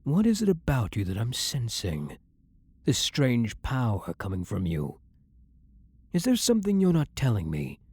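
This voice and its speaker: Casting: male actor as centuries-old vampire hunter for ASMR drama. Blunt, commanding, husky and breathy, unhurried, recorded very dry. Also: mid-range voice, wildly swooping pitch, darkish tone, no echo, exaggerated voice. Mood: intrigued, suspicious. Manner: blunt, commanding, interrogating, slow and deliberate. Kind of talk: ASMR roleplay, intimate dramatic monologue.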